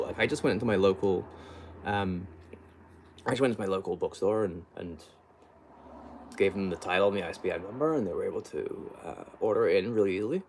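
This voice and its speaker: A man's voice: lowish voice, a little mellow, slight background noise. A man hosting an unscripted book livestream, chatting casually in a rambling, hesitant way and often trailing off mid-thought.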